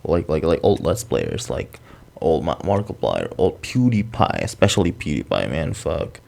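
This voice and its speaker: A young man from Indonesia with an American accent, whose first language is Indonesian, talking off the cuff to the camera in a fast, rambling, swearing way. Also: very deep voice, huge pitch swings, vocal fry, darkish tone. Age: young adult. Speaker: vlogger. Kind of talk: improvised talk to camera.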